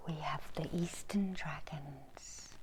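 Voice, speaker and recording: A middle-aged woman narrating an ASMR guided meditation in a slow, hushed, soothing manner, often slipping into whispers. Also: deep voice. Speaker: guided meditation host, Reiki healer. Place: indoors in a small room. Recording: clear background noise and ambience.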